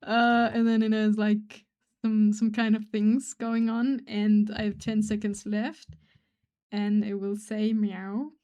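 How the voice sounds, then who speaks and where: Woman streamer, german first language, rambly and self-deprecating, mumbles quiet while drawing, mid pitch. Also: flat delivery, slow pace. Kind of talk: casual live-stream chat while drawing.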